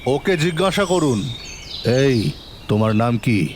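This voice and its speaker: A man playing a stern police inspector in an audio drama, questioning briskly and probingly. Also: medium pitch, expressive, slight background noise. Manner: brisk, interrogating. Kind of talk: Bengali audio drama acting.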